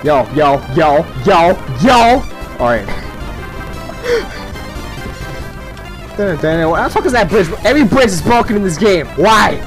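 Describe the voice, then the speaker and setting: Brief: a man riffing over his own gameplay, higher-pitched and very animated, swearing and rambling, game music behind him. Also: slow pace, long pauses. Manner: rambling, profane, laughs often. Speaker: gameplay commentator. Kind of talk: casual off-the-cuff gameplay commentary.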